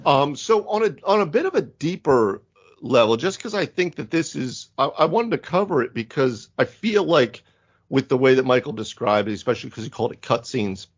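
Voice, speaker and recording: Animated male podcaster, lo-fi sound. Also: mid-range voice, normal speed. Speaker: podcast host. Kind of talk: casual panel chat about tabletop games. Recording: dull lo-fi audio.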